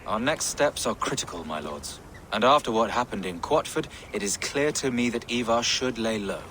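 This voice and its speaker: An actor playing a grave, pious medieval bishop who seeks peace, speaking in a measured, formal way for a video game. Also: male voice, mid-range voice, animated, a bit bright, slight background noise, lightly acted. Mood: solemn.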